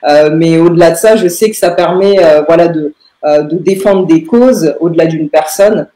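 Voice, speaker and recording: A young woman, answering in a podcast interview with halting phrasing and very frequent filled pauses. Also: sits low, warm tone. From Paris, France. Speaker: lawyer, interview guest. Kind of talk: podcast interview answers about own career. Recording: roomy, echoing room sound.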